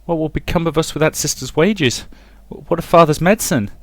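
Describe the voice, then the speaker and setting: Guy doing a scared guilty young woman for a visual novel, high pitched, stammery, pleading, close dry sound. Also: a little fast, dry recording, natural voice. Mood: anxious, hurt. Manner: stammering, breathless, anguished. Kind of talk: dramatic reading of visual novel dialogue.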